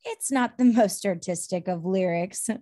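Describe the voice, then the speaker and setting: A woman voicing a good-natured, wordy gnome bard in an animated fantasy table read, with a theatrical manner. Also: medium pitch, a little slow, no room sound, studio quality, natural voice. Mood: earnest. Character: stout thirty-five-year-old gnome bard, good-natured and wordy.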